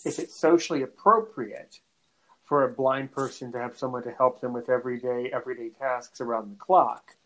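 Halting North American male podcast host. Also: medium pitch, fairly even intonation, a bit bright, low-quality recording. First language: English; French is his second language. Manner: halting, often repeats words before finishing a thought. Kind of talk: conversational interview.

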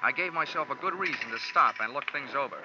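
A man's voice: medium pitch, animated, fast talker, noisy background, close to an everyday voice. Man playing a wry hard-boiled 1940s radio detective. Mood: wry narrating. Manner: wry, laconic, wisecracking. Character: wry, hard-boiled male freelance insurance investigator. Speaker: lead actor and narrator of a detective series. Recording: dull old 1940s radio recording, music behind.

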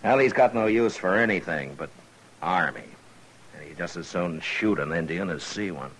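A faintly noisy vintage radio western carries a very low voice. It belongs to a frontier marshal, patient and authoritative, who chooses words slowly and dryly. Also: male voice, expressive, a bit bright. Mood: easygoing, wry. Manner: measured, deliberate, laconic. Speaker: lead actor in a western radio drama. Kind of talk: 1950s radio drama acting.

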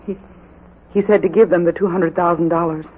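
An actress playing an anxious, pleading wife in a 1940s radio drama, hesitant and earnest. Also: female voice, fairly low pitch, fairly even intonation, fairly quick, lightly acted. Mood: subdued worry. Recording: narrow-band, faintly noisy old radio recording.

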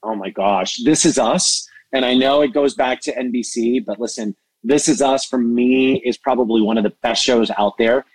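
Expressive, passionate man in a dry recording. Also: mid-range voice, moderate pace, few pauses, no room sound, rough recording. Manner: expressive, passionate, self-described hyper. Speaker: interview guest, entertainment media executive and mentor. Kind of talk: animated interview conversation.

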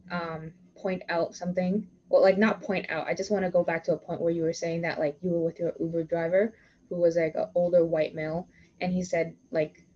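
A young woman from Toronto hosting a podcast. She talks casually in long runs full of "like" and restarts. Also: on the low side. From Toronto, Canada. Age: young adult. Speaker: podcast host. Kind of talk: casual conversational interview. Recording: slightly muffled lo-fi recording.

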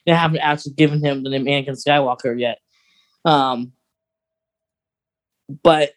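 Mid-pitched podcast co-host chatting casually. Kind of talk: casual fan discussion.

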